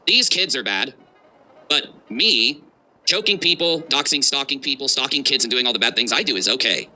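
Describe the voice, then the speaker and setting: A man's voice: medium pitch, lively intonation, moderate pace, sharp tone. A man hosting a livestream with mocking reaction commentary, sarcastic and emphatic in delivery.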